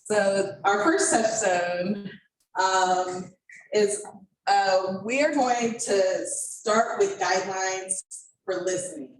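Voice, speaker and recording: A woman co-facilitating an event, presenting discussion guidelines to a group in a measured, deliberate way. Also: medium pitch. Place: indoor event room with online participants. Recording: echoey room, distant mic, faint noise.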